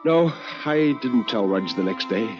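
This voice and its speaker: A man's voice: medium pitch, animated, a little slow, dull audio, music in the background. A man narrating a first-person ghost story as a dramatic storyteller, theatrical and full of suspense.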